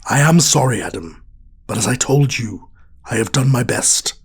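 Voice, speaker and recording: An actor playing a weary, stern old warrior mentor in an audio drama, solemn and deliberate, with a raspy voice. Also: male voice, mid-range voice, lively intonation, exaggerated voice. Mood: solemn, resigned. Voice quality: husky, raspy.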